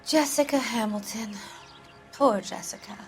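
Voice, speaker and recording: A woman's voice: a little high, a little slow, soft background music, lightly acted. A woman playing a flirty faded film actress. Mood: flirtatious. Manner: flirtatious, dismissive, slightly drowsy. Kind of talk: video game cutscene acting.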